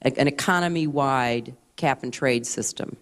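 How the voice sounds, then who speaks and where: A woman putting formal questions in a deliberate, halting manner. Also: extremely low pitch.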